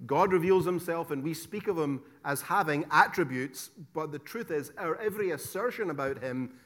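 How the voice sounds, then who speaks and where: A middle-aged Scottish man giving a theology lecture, stressing key words loudly, dropping to quiet asides and asking rhetorical questions. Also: a little high, moderate pace. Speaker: preacher teaching theology.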